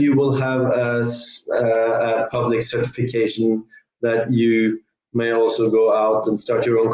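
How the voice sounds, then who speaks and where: A man's voice: medium pitch, darkish tone, roomy sound, rough recording. A man from Norway, a guest on an online interview, explaining at length with many fillers and restarts. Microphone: video call.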